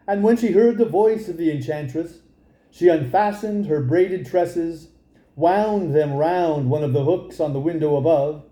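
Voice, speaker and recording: A man reads a fairy tale in a very mellow, high-set voice for a male. He takes his time over the storybook narration, and the room adds a clear echo. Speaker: storybook reader.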